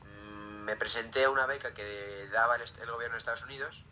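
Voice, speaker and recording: A man's voice: medium pitch, fairly even intonation, fairly quick, pushed and bright, faint music behind. A young man in his late teens from Zaragoza with a Peninsular Spanish accent, answering interview questions casually as a student. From Zaragoza, Spain.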